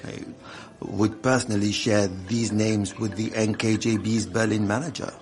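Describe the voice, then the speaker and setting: An actor playing a worldly, wily Corsican gangster informant with a French accent and a suave, genial manner in a radio drama. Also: male voice, fairly low pitch, flat delivery, normal speed, darkish tone, close to an everyday voice. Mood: genial, sly. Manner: chuckling, suave, bargaining.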